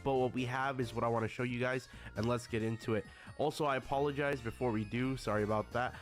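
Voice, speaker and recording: A man's voice: mid-range voice, fairly even intonation, fast talker, soft background music. A man who is a little under the weather, talking casually to viewers about a game update. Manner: addresses viewers often as guys. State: a little sick. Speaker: gaming YouTuber. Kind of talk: casual video commentary.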